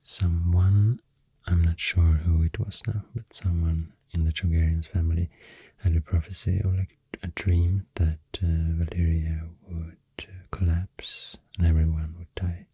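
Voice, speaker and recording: A man with a Swedish accent speaking in a soft, breathy near-whisper, rambling in an unhurried, hesitant ASMR explanation. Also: deep voice, flat delivery, no room sound. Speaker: ASMR creator. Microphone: close-up ASMR microphone.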